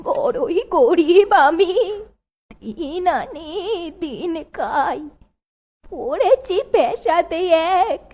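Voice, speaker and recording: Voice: extremely high pitch, very animated, drawn-out words, a little mellow, highs cut off, exaggerated voice. A performer voicing a poor, pleading old village mother in singsong cartoon verse. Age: older. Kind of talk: rhyming cartoon dialogue. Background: music.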